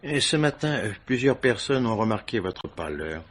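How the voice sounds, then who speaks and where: An actor playing a patient, insistent police commissioner in a French radio mystery, questioning in short, probing phrases. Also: male voice, mid-range voice, sing-song, normal speed, a bit bright. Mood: methodical.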